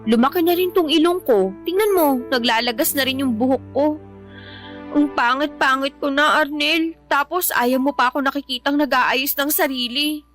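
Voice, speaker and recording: Plaintive, weary young pregnant wife, high voice. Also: female voice, moderate pace, fairly bright tone, soft background music. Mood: sulky, self-pitying. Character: pregnant, weary, self-pitying wife and mother, easily sulky. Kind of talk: radio drama acting in dialogue.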